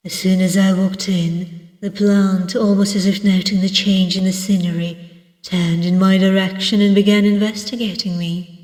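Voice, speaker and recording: A woman with a Russian accent reading first-person story narration from a game in a measured storybook manner. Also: medium pitch, a little mellow. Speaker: let's play narrator. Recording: echoey, long reverb, distant mic.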